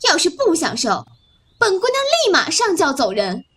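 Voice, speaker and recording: A woman acting a haughty, sharp-tongued, impatient young bride in an audio drama. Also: very high-pitched, wildly swooping pitch, normal speed, a little hiss or hum, exaggerated voice. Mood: indignant, haughty.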